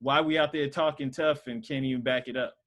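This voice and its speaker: A man's voice: mid-range voice, steady tone, brisk, a bit bright. A man casually teaching a Sunday school group over a video call, talking loosely with lots of fillers. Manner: loose and conversational, many fillers.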